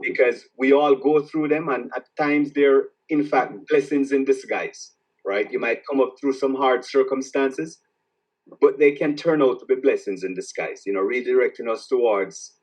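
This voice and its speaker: A man from Jamaica with a Jamaican accent, an author answering interview questions in a reflective, halting way that repeats words as he starts each thought. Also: mid-range voice, husky, recorded from a distance, poor audio. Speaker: author guest.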